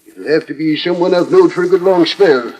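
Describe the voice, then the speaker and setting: A man's voice: a little high, brisk, darkish tone, natural voice, rough recording. An American man playing a homespun, protective ranch boss and father in a 1940s radio western. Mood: hesitant. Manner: drawling, homespun cowboy talk. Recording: old radio disc, crowded background noise.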